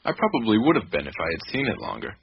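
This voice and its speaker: A man's voice: lowish voice, huge pitch swings, quick pace, vocal fry, husky, heavily acted. An actor playing a guarded, matter-of-fact male witness in a radio drama, talking in an emphatic, down-to-earth way. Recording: muffled radio recording with clicks and hiss.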